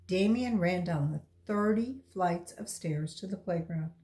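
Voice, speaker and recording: A woman reading a children's story aloud as a volunteer, in a deliberate storytelling style. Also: on the low side, mellow.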